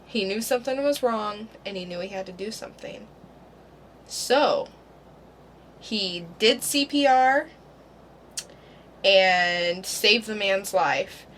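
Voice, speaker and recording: An easygoing woman co-hosts a casual podcast and muses through her guesses. She speaks slowly. There is a faint hiss behind her. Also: medium pitch, fairly bright tone. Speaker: podcast co-host.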